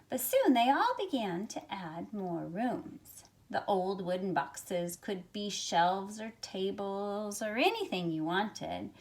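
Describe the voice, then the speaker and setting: A middle-aged woman reading a picture book aloud to young listeners in an expressive, measured storytelling way. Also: medium pitch, slightly rough. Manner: expressive, measured storytelling with drawn-out phrases and softened asides. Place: small carpeted room at home, indoors. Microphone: phone at arm's length.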